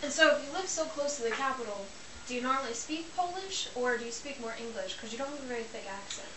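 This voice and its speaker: High-voiced woman interviewer in an echoey kitchen. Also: animated, quick pace. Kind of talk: amateur comedy sketch interview. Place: home kitchen. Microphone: camera mic from a distance. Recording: roomy, distant, hissy home video.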